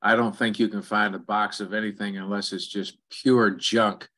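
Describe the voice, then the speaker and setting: A man chatting casually on an online call, speaking in broken phrases with pauses. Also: lowish voice, flat delivery, pushed and bright. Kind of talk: casual chat. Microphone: online conference call.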